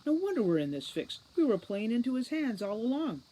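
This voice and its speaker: A woman reading a children's fantasy audiobook, voicing a brisk, composed female fairy captain in a coaxing, authoritative manner. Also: on the high side, very animated, warm tone, voice pushed up, poor audio. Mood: urgent, serious.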